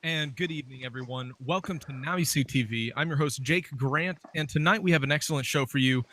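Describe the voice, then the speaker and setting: A man's voice: mid-range voice, brisk, pushed and bright. A man casually hosting an interview show, speaking informally through greetings and announcements. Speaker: show host.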